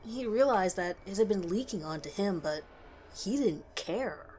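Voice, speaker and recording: A woman's voice: medium pitch, lively intonation, unhurried, darkish tone, slight background noise. A woman reading a scripted horror story as its narrator.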